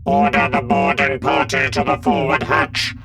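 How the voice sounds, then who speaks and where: A man's voice: medium pitch, flat delivery, normal speed, a bit bright, slight background noise, pitched above the performer's own voice. A man voicing an emotionless cybernetic giant in a sci-fi audiobook, with a mechanical, sibilant, robot-treated voice and a toneless, clipped manner. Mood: cold, toneless.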